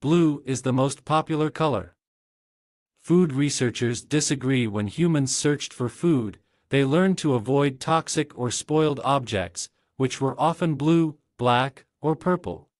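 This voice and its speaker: A man reading an exam passage aloud in a plain, steady way. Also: medium pitch, measured pace, dry recording, studio quality.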